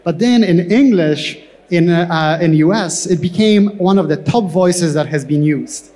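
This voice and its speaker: Higher-pitched man speaking in an echoey hall. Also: warm tone. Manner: halting, repeats words as he explains. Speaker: tech company co-founder, panel guest. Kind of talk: on-stage interview answers. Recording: echoey hall with long reverb.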